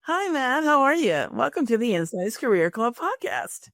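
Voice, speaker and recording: A woman's voice: on the high side, sing-song, normal speed, fairly bright tone, dry recording, clean studio sound. A woman hosting a career podcast, moving between a prepared introduction and friendly interview chat. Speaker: podcast host and career coach.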